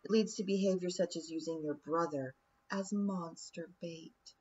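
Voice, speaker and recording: A woman's voice: on the low side, moderate pace, darkish tone, husky, lo-fi sound. A woman voicing a cold, cruel fairy queen who speaks in a silky, condescending, taunting way in an audiobook. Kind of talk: audiobook character voice.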